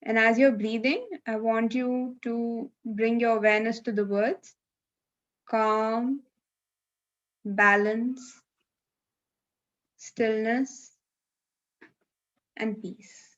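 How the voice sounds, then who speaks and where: A woman leading a live guided meditation, giving gentle, unhurried instructions with long pauses, over a video call. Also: on the high side, fairly even intonation, muffled recording.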